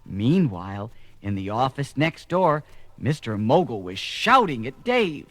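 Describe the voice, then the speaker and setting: A man's voice: mid-range voice, very animated, unhurried. A man narrating a scripted children's story.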